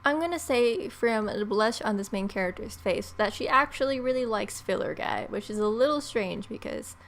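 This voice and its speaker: A woman's voice: on the high side, lively intonation, normal speed, a bit bright, slight background noise. A young adult woman reading a visual novel aloud as a let's play host, with playful, wry asides.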